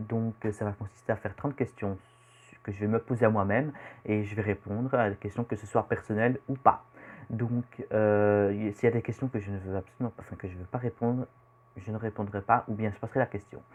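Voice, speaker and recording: Teen boy, Belgian French accent, hesitant rambling vlogger, lowish voice, dull home-camera sound. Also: brisk, darkish tone. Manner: rambling, hesitant with many fillers. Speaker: youtuber. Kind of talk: casual self-introduction vlog.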